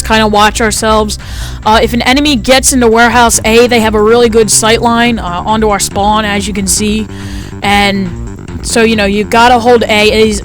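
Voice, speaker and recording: Light-voiced teenage boy narrating gameplay. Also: poor audio. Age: teens. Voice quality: light, high-sounding voice. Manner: chatty, rambling, frequent fillers. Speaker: gameplay commentator. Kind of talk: casual gameplay commentary.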